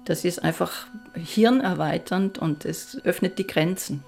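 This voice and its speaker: Middle-aged woman from Austria, violinist, gravelly lower voice, reflective interview tone, faint violin music behind. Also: a little slow, darkish tone. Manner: reflective, enthusiastic about her work. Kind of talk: documentary interview.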